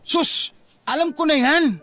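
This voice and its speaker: A man's voice: extremely high pitch, takes it slowly, fairly bright tone, full character voice. A man playing a cheeky, scheming young suitor, teasing and insistent, in a Filipino radio-style romance drama. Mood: goading. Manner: teasing, wheedling, playful. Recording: music under the dialogue.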